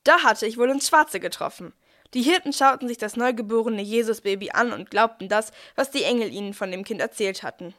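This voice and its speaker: A woman's voice: fairly high pitch, fairly quick, a bit bright, close to an everyday voice. A woman playing a cheerful, capable archangel who narrates a Nativity audio play in plain, youthful storytelling. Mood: storytelling, assured.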